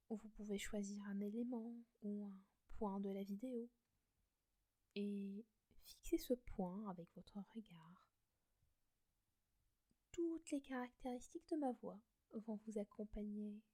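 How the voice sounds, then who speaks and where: Female meditation guide, breathy whisper, slow and mellow. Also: medium pitch. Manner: soft slow whisper, gentle instructions.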